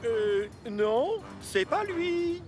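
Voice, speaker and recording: A calm, helpful machine in a children's cartoon. He speaks slowly and plainly with a lively rise and fall, over music. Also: very high-pitched, exaggerated voice. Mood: puzzled. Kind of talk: animated children's series dub. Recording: music behind the voice.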